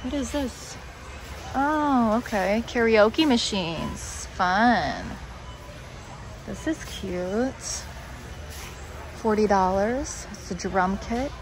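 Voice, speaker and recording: A woman's voice: medium pitch, animated, extremely slow, long pauses. A woman giving casual, chatty running commentary for a shopping vlog, thinking aloud as she goes. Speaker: family shopping vlogger. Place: large indoor warehouse store. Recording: clear background noise of warehouse store.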